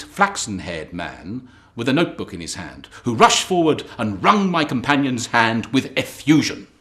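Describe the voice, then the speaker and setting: Expressive male literary narrator, very animated intonation. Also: mid-range voice. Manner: deliberate, expressive storytelling. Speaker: audiobook reader as Dr Watson.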